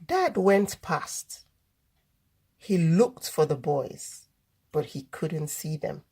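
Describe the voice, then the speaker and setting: A woman reading a children's story aloud as host, in deliberate, expressive narration. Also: low-pitched, slightly rough.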